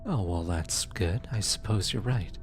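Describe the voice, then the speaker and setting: A man playing a gentle, awkward demon soul collector in an ASMR audio roleplay, speaking softly, tenderly and a little haltingly to comfort the listener. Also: low-pitched, faint music behind. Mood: calm, reassuring. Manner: hesitant, halting, tender, casual.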